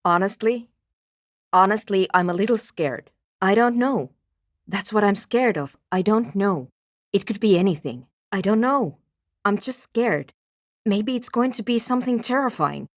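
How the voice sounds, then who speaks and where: A woman's voice: medium pitch, expressive, measured pace, no echo, studio quality, natural voice. A woman playing a guarded, impatient and anxious programmer in a video game, with a terse, precise and matter-of-fact way of talking. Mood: scared. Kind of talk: scripted game character dialogue.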